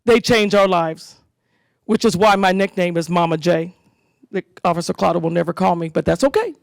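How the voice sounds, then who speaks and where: A woman hosting a school community safety event, giving an animated speech to parents and leading call-and-response chants. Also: lowish voice, a little fast, gravelly, no room sound, clean studio sound.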